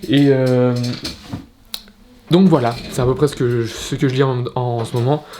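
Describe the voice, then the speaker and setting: A man's voice: medium pitch, steady tone, normal speed, crowd noise. Young male fitness vlogger, casual bedroom talk to camera, hesitant delivery with restarts. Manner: hesitant, many fillers and restarts. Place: at home in his bedroom.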